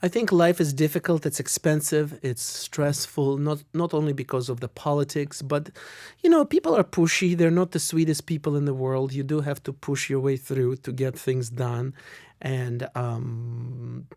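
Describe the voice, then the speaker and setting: A middle-aged man from Russia and Israel, with Russian as his first language, speaks as an interview guest in a very dry, close recording. His voice has an average pitch, and he makes opinionated points by repeating phrases for emphasis. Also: moderate pace, creaky, darkish tone, no room sound. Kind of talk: conversational interview answers.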